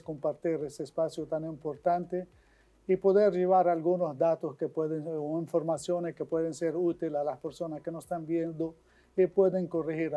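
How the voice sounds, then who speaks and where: A man from Syria with a Syrian accent, speaking Spanish as a guest surgeon in an earnest, measured way with small restarts. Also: fairly high pitch, mellow. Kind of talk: interview answers.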